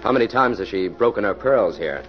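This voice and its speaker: A man's voice: fairly low pitch, lively intonation, brisk, close to an everyday voice. A man playing a cool, authoritative police detective in 1940s radio style, speaking firmly in clipped, commanding phrases. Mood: stern. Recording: muffled old radio recording, music behind.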